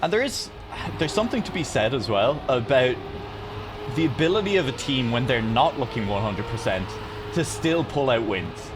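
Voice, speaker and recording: A man's voice: medium pitch, big pitch swings, normal speed, background noise. A man co-casting a live racing esports match, playful and giggly and often joking about himself. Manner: playful, giggly, self-deprecating. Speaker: esports co-caster. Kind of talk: casual live esports commentary.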